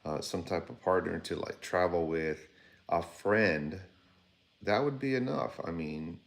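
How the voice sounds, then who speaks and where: A man's voice: deep voice, a little slow, gravelly. A man in his fifties hosting a casual livestream, rambling conversationally and talking to viewers by name. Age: 50s. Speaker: livestream host. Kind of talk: casual livestream chat reading viewer comments.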